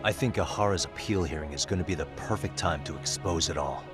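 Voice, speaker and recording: An actor playing a cool, confident detective in a video game cutscene, wry, blunt and challenging. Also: male voice, low-pitched, fast, music in the background, natural voice. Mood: grimly determined. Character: cool, confident male private detective, dogged and sardonic.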